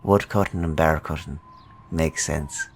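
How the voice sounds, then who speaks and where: Guy doing an older town guard, real low and creaky, friendly but watchful, fantasy rpg. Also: fairly even intonation, unhurried, slight background noise, full character voice. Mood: dry, friendly. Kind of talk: improvised tabletop roleplay.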